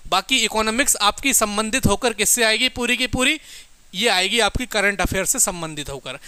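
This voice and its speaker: A man's voice: high-pitched, normal speed, sharp tone, clean tone, no echo. A man teaching exam aspirants in an informal lecture, emphatic and instructive, often checking in and addressing listeners as friends.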